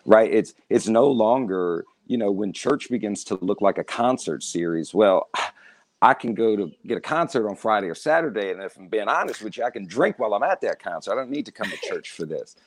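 A man's voice: on the low side, animated, a little fast, no echo. A man in his thirties, a guest in a casual discussion, speaking with an emphatic, preacherly cadence. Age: 30s.

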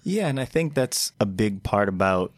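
A man's voice: fairly low pitch, very animated, moderate pace, no echo. A man co-hosting a casual interview, joking and teasing as he talks. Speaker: podcast co-host.

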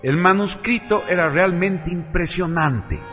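A man narrating a radio drama from a script in a solemn, deliberate, theatrical way. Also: sits high, a bit bright, faint music behind.

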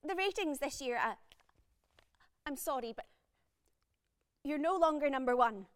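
Casting: meek TV office junior, high-pitched, halting, stammering, apologetic, comic underplaying. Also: female voice, expressive, heavy background noise. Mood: apologetic, awkward. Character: timid, apologetic junior TV employee bringing bad news. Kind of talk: comedy drama acting.

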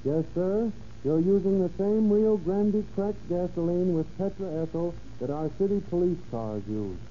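An actor playing an eager, polite gas station attendant in a 1930s radio advert, with earnest sales patter. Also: male voice, sits high, unhurried. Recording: muffled, hissy old radio broadcast.

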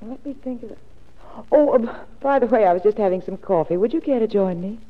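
A woman's voice: mid-range voice, a little fast, close to an everyday voice. An actress playing a polite, scheming woman who feigns sweet concern in a 1940s radio drama. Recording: tinny, reverberant 1940s radio recording.

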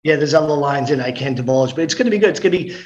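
A man's voice: fairly high pitch, lively intonation, racing, muffled recording, a crowd in the background. Middle-aged guy chatting on a podcast, upbeat, chatty, lots of restarts. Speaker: guest actor and comedian. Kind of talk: casual podcast chat.

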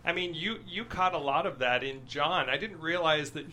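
A man, a screenwriter, talking earnestly and emphatically in a casual panel discussion, with halting restarts. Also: a little high, sharp tone, slight background noise.

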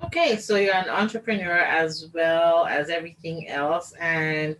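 A woman's voice: fairly low pitch, measured pace, background noise. A woman speaking as a podcast host in a casual, chatty, conversational way.

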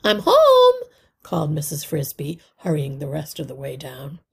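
A woman reading a children's story aloud as narrator, with careful, deliberate phrasing. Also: deep voice, steady tone, gravelly, warm tone, slightly rough.

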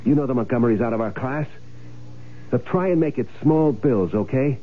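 An actor playing a broke, cocky schemer in a radio drama, with a wry, hardboiled, breezy delivery, both in dialogue and first-person narration. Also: male voice, mid-range voice, normal speed, close to an everyday voice. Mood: brisk, furtive. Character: broke, cocky male schemer who faked his death. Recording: tinny radio broadcast with loud music.

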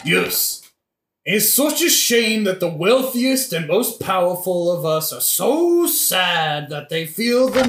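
A performer playing a drunken, cheeky gravedigger who philosophizes and argues with mock logic in a modern comic audio drama. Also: medium pitch. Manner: argumentative, mock-logical, joking, sometimes singing slowly. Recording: roomy echo, faint noise.

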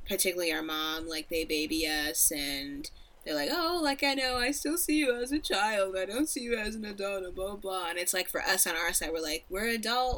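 A young woman of Nigerian background co-hosting a podcast, speaking casually and thoughtfully in a deep voice. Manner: reflective, animated laughter. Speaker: podcast co-host. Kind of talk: casual chat.

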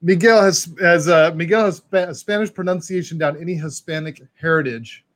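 A man's voice: a little high, moderate pace, no echo. A man hosting a casual podcast in an upbeat way, reading out viewer questions and pointing the audience to the replay. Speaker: podcast host.

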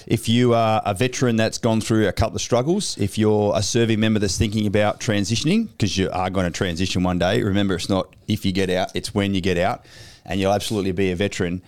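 A man's voice: lowish voice, fairly even intonation, fast, darkish tone, clean tone. An Australian man hosting a podcast in an upbeat, blokey way, swearing casually as he chats. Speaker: podcast host.

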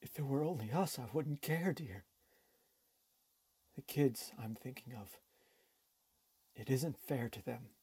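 A woman playing a defeated, weary husband in a radio drama, husky, grave and quietly resolute. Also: slow pace, long pauses, no room sound, exaggerated voice. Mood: despairing. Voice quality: low, husky.

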